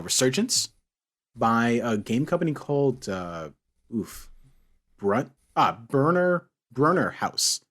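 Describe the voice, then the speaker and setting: Lively, husky-voiced man hosting. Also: medium pitch. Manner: playful, teasing. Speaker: podcast host. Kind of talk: casual chat, hosting a podcast.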